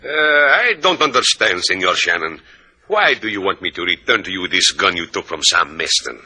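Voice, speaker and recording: A man playing a courteous, wry Havana police official with a Spanish accent and husky voice, formal and droll, in an old radio drama. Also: sits low, very animated, pushed and bright, faint music behind, full character voice. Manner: formal, droll, deliberate wording. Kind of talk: 1940s-50s radio drama acting.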